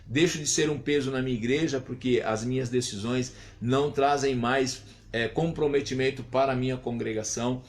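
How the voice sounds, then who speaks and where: A man's voice: mid-range voice, fairly even intonation, normal speed. A man in his fifties, a pastor giving a morning devotional sermon in an earnest, reflective way, lifting his voice on exhortations. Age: 50s.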